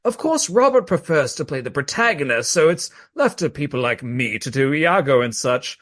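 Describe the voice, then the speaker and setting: An actor in a radio play voicing an affable, vain Regency gentleman of amateur theatre, effusive, plummy and self-satisfied. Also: fairly high pitch, sing-song, moderate pace. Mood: effusive, smug.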